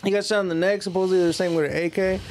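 A man's voice: high-pitched, fairly even intonation, very fast. A young man telling a story casually on a podcast, in slangy, profane language. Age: young adult. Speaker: podcast panelist. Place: small room with couches, indoors.

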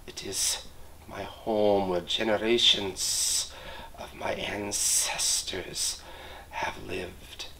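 A man puts on a huge snake that hisses low and soft, half whispering. The voice is slow and quiet, and it drags each word out. It sounds like a storybook serpent. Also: steady tone, heavily acted. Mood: menacing. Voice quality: hushed, breathy hiss. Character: huge hissing male boa constrictor.

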